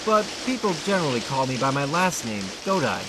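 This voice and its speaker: Man as exasperated young male student, higher-pitched, earnest and sarcastic, audio drama, heavy hiss and rain. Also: big pitch swings, brisk. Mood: wry, earnest. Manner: chatty first-person narration turning to whiny protest. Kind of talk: amateur audio play acting. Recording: loud hiss and rain over voice. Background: rainstorm sound effects.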